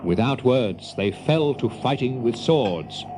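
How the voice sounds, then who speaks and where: A man's voice: medium pitch, expressive, slow, background noise. A man narrating a children's adventure story in a dramatic, scripted storybook style. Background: music.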